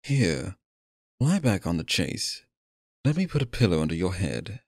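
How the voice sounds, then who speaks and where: Man acting a sinister, theatrical stage magician, animated. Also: low voice, dry recording, studio quality, full character voice. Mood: concerned, controlling. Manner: theatrical, coaxing, drawn-out phrasing. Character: sinister smooth-talking male stage magician, possessive and courtly. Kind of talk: ASMR roleplay, theatrical acting to the listener.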